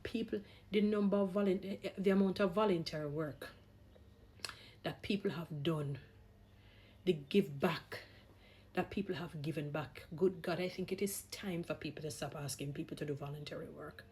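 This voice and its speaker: A woman's voice: on the low side, moderate pace, darkish tone, husky. A woman vlogger giving opinionated talk in an emphatic, exhorting manner, repeating phrases for stress. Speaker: vlogger, gospel singer.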